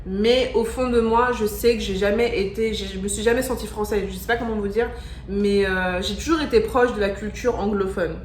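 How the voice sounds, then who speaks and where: A young woman from France reacting in a casual vlog, excitable and slangy, repeating her exclamations. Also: mid-range voice, moderate pace. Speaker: vlogger. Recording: echoey room with faint music.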